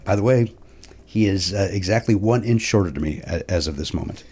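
A middle-aged man with a Chicago accent, hosting a casual comedic podcast chat and rambling with halting fillers. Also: low-pitched, darkish tone, a little hiss or hum.

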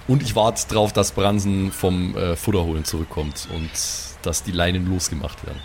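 A man's voice: low-pitched, fairly even intonation, normal speed, gravelly, background noise. A man improvising his character in a tabletop role-playing game, talking in an enthusiastic, teasing way.

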